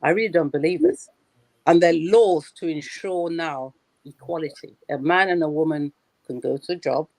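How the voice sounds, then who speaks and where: A woman's voice: sits low, normal speed, a little mellow, no echo, rough recording. A woman in her sixties, a lawyer on a discussion panel, speaking in a firm and assertive way. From Britain.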